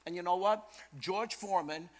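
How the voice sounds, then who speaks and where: An older man from Brooklyn, preaching in a storytelling style, animated and emphatic, repeating phrases for effect. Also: high voice, moderate pace. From Brooklyn, New York, USA. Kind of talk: storytelling sermon.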